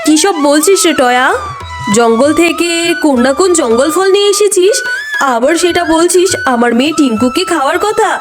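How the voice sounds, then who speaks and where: A woman voicing a nagging, accusing mother fox, a cruel aunt in a children's cartoon story. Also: high voice.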